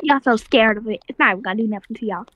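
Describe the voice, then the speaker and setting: A woman's voice: a little high, very fast, no echo, dull audio, lightly acted. A woman playing a feisty, stubborn elderly grandmother in an improvised online roleplay, sassy and combative. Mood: playful, stubborn.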